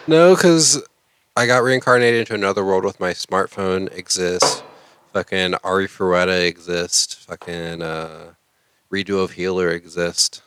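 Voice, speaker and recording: A man's voice: deep voice, fairly even intonation, unhurried, fairly bright tone, a little hiss or hum. A man co-hosting a casual anime podcast, talking in blunt, opinionated, sweary banter. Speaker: podcast co-host.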